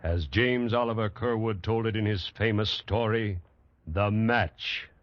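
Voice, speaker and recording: A man's voice: lowish voice, a little slow, heavy background noise. A man announcing a radio drama in an intense, declamatory 1940s style. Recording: dull old radio recording, loud music. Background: loud music.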